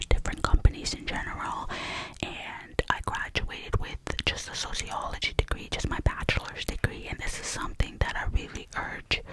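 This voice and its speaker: Young woman, native Albanian speaker, all-whisper ASMR host, chatty and digressive with lots of ums. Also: poor audio. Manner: soft, rambling and digressive, with many fillers. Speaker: ASMR creator.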